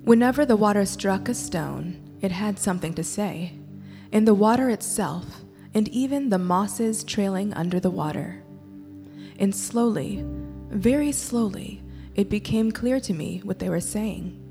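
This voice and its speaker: A woman reciting a poem to a gathering, with deliberate, measured phrasing. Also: mid-range voice, warm tone, soft background music. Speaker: poetry reader at church. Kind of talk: poetry recitation. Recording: echoey hall.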